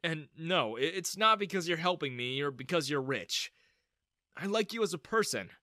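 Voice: mid-range voice, animated, moderate pace, bright, forceful tone, dry recording, clean studio sound. A performer voicing a wry, sincere young male underdog in an audio story, earnest in manner.

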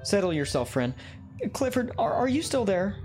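Genteel, officious pit boss male actor, lively melodic delivery, formal and theatrical; fantasy comedy, light music underneath. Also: high-pitched, normal speed. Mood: uneasy, polite. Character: chatty, polite male pit boss guiding new hires. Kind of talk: comedic fantasy audio drama acting.

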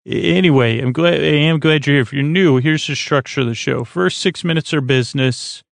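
An American man hosting a bedtime sleep podcast in a creaky, lulling tone, rambling and halting as he stumbles over his words with plenty of fillers. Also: on the high side, no echo. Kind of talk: rambling bedtime monologue meant to lull listeners to sleep.

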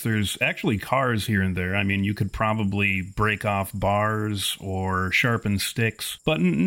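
A man with a fairly low voice serves as game master for a tabletop horror session. He sets scenes in measured, wordy description and puts choices to the players. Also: fairly bright tone.

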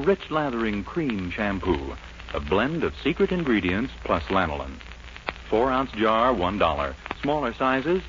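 A man with an American accent announcing and reading adverts in 1940s radio style. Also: lowish voice. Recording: muffled, noisy old radio broadcast.

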